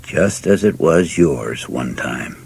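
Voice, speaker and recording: Man reading as a deep, gentle, compassionate father. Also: animated, vocal fry, slightly rough, heavily acted. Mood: gentle, firm. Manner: slow, tender, deliberate.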